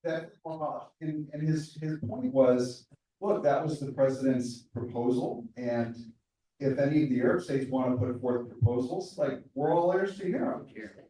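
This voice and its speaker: A man's voice: mid-range voice, a little slow, warm tone, a little hiss or hum. A man in the audience making a statement and then asking a question, stumbling and repeating words as he goes. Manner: hesitant, repeats words as he builds his point. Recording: very echoey hall, far from the mic.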